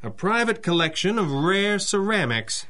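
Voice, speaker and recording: An actor playing a dry, mysterious masked crimefighter boss, speaking in measured deadpan in a 1930s-style radio adventure. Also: male voice, high-pitched, heavily acted. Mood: dry, businesslike.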